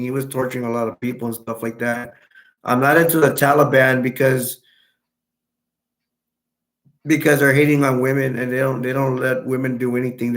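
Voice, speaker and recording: A young man from Texas chatting casually on a live stream, answering viewers in a rambling, halting way with many restarts. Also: medium pitch, a bit bright. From Texas, United States.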